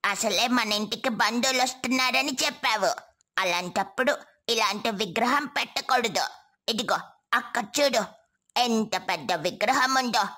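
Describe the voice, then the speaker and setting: A woman's voice: on the high side, moderate pace, bright, forceful tone. A woman voicing an arrogant, spoiled little rich boy who talks in a haughty, dismissive way, in a story.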